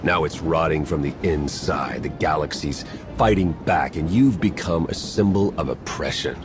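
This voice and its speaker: An actor playing a bitter, coldly determined traitor in a cinematic video game, grim and measured. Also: male voice, very deep voice, over music. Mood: bitter conviction. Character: male traitor spy, bitter, coldly determined former ally.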